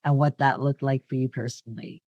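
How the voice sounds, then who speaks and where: A woman's voice: extremely low pitch, fairly even intonation, a little fast, darkish tone, dry recording, muffled recording. A woman hosting a podcast who introduces her guest and asks questions in an upbeat, friendly way. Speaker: podcast host. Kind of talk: conversational podcast interview.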